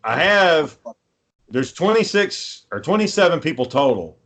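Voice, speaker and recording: A man's voice: a little high, sing-song, measured pace, fairly bright tone. Guy co-hosting a podcast, just chatting and telling stories, blunt and sweary. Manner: profane, blunt. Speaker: podcast co-host. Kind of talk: casual chat, telling stories.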